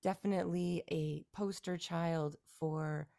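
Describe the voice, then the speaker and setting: A woman from Canada in her forties, a musician guest talking through her career in a relaxed, rambling way with fillers and restarts. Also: lowish voice, a little slow, vocal fry. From Canada, near Toronto. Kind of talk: casual interview conversation.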